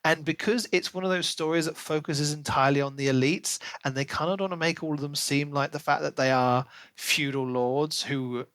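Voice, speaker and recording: A man co-hosting a casual book podcast, giving opinions in an animated, emphatic way with sarcastic asides. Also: on the high side, moderate pace, hardly any pauses, dry recording. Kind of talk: casual book review chat.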